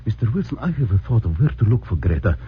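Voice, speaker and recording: An actor playing a grave, devout immigrant man, husky, halting and formal, in a radio drama. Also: fairly low pitch, big pitch swings, full character voice. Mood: solemn, hopeful. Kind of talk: 1940s-50s radio drama acting. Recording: muffled old radio recording.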